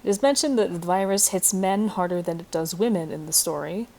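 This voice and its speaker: Low-pitched young woman presenting. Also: brisk, vocal fry, darkish tone. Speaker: student presenter. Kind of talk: scripted class presentation. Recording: faint background noise.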